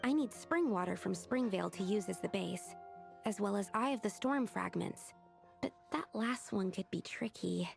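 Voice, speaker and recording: Earnest young alchemist, creaky voice. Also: female voice, mid-range voice, animated, moderate pace, faint music behind. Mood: earnest, hesitant. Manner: precise, tentative, scholarly. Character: earnest, hesitant, studious young woman alchemist researcher. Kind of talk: video game voice acting.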